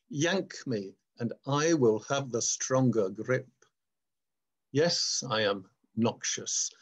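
An older man presents his poetry at an online reading. His voice is mid-pitched and he takes his time, speaking slowly and with measured care. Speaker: poet. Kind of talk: introducing and reading own poem at an online poetry event.

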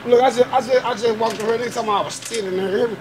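A man's voice: extremely high pitch, steady tone, rapid-fire, background noise. An American man arguing casually and insistently in slang, repeating himself. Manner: insistent, slangy, repetitive denials. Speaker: shopper accused in a prank. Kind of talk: casual argument. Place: large store aisle.

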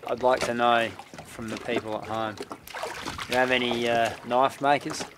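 A man's voice: medium pitch, little pitch movement, normal speed. A man, chatting casually on a boat. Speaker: vlogger, spearfisherman. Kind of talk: casual chat. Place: on a boat, on deck and in the cabin. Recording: clear outdoor boat noise.

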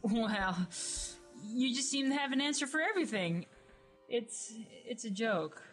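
A woman's voice: a little high, expressive, unhurried, a bit bright, soft background music. A woman voicing a sarcastic, brash young dead woman in a fan radio play, snappy and exclaiming. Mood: snarky.

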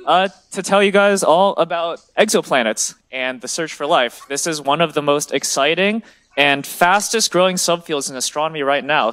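A young male graduate student speaks to the public in a large lecture hall. His voice sits a little high for a man, and his delivery is informal and enthusiastic, like an eager explainer. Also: a bit bright. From China and the US. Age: 20s. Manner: enthusiastic, checks in with "right?".

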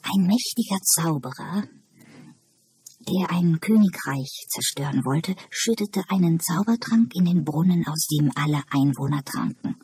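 A narrator voicing a calm, knowing woman asylum patient who muses and explains in an audiobook. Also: mid-range voice, unhurried, husky. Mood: storytelling.

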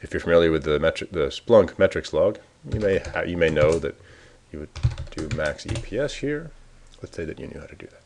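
A man casually talking through a software demo, thinking aloud as he clicks around the screen. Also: very deep voice, expressive, a little fast, slight background noise. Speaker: software developer demoing a tool. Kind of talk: screencast walkthrough.

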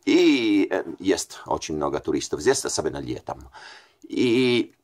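Italian man, 40s, low voice, casual hesitant vlog to a desk camera in a small room. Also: very animated, measured pace, darkish tone. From Italy. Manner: hesitant, many fillers and self-corrections. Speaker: language learner vlogger. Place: small bright room indoors.

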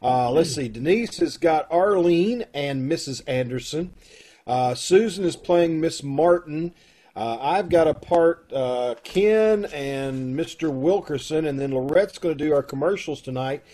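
Middle-aged male host, slightly high voice, informal chatty introductions on a video call. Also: unhurried, mellow. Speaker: host of an amateur radio-drama troupe. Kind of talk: casual chat, introducing cast and show. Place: indoor room at home, video call. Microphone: video call microphone.

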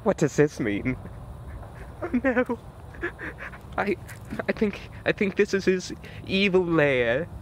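A man's voice: sits high, big pitch swings, slow pace, pauses often, slight background noise. A man vlogging outdoors in the woods at night on a handheld camera, stammering nervously with repeated words and voice cracks. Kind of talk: mock-scared comedic night vlog.

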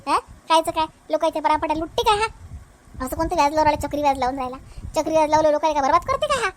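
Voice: sits high, animated, racing. A cartoon voice playing an emphatic, quarrelsome village housewife in a Varhadi Marathi accent from Vidarbha, repeating words in comedy dialogue. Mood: defiant. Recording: noticeable background noise.

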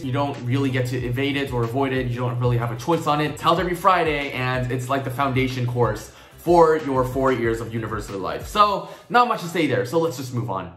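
A man's voice: medium pitch, fairly bright tone, reverberant. A young man, a student whose first language is Korean, chatting in an upbeat, rambling way while explaining things, with fillers along the way. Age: young adult. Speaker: student vlogger. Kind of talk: casual explainer vlog.

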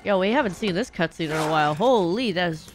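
A young woman hosting a gaming livestream, chatting casually, reacting loudly and swearing freely. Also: fairly low pitch, animated, fast, noisy background. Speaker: livestream host and speedrunner. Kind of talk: casual gaming stream commentary. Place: indoors, gaming room at home.

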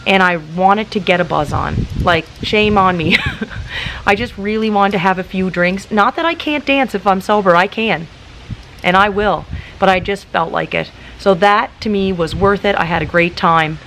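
A woman in her late thirties talking calmly and practically to camera, chatty and casual, recorded outdoors in a garden with some wind. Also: mid-range voice, moderate pace, low-quality recording. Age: late 30s. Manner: calm, practical, chatty with many likes. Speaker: YouTuber. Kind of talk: casual vlog talk to camera. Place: outdoors in a garden in front of hedges.

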